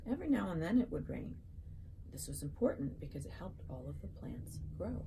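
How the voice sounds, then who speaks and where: A woman children's author reading her picture book aloud and chatting in asides, with an animated storybook delivery addressed to young listeners. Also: fairly low pitch, fairly quick, background noise.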